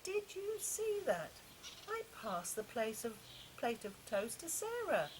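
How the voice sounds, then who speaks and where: An older woman voicing an overjoyed, excitable father in a storybook, exclaiming loudly in astonishment. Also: a little high, slow, voice pushed up, exaggerated voice. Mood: amazed, exultant. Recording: noisy home-style recording, constant background noise.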